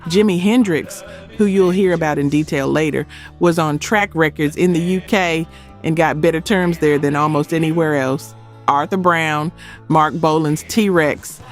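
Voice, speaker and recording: Higher-pitched man narrating over music. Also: a little slow. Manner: dramatic short emphatic phrases. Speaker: narrator of a music history video. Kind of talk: scripted documentary narration.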